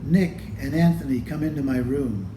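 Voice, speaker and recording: A man reading a children's picture book aloud expressively, with deliberate phrasing and pauses. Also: medium pitch, roomy sound, noisy background. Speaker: storybook reader.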